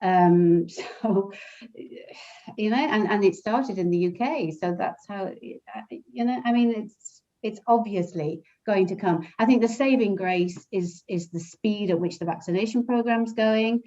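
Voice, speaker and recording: A woman on a panel discussion, talking in an animated way and often repeating words as she thinks. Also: mid-range voice, a little slow, lo-fi sound. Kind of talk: video call panel discussion.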